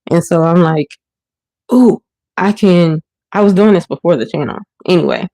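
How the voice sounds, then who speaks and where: A woman's voice: lowish voice, normal speed, dark tone, no room sound. A woman hosting a casual livestream from her kitchen, a bit stuffy and sniffling, rambling with many ums and restarts. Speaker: livestream host. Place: home kitchen. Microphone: phone or webcam while washing dishes.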